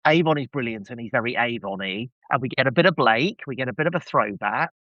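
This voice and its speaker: A man with a medium-pitched voice chats as a guest on a podcast. He speaks with emphatic enthusiasm and a wry edge, leaning on the words he relishes. The recording is dry and free of room echo. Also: expressive, quick pace, lo-fi sound. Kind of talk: casual podcast discussion.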